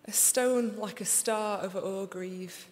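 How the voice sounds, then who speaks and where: A young woman with a Sheffield accent reading her poems aloud in a slow, deliberate recital before an audience. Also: medium pitch, steady tone, a little mellow. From England. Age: young adult. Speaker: poet. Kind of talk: poetry reading. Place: large concert hall. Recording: live reading in a reverberant hall.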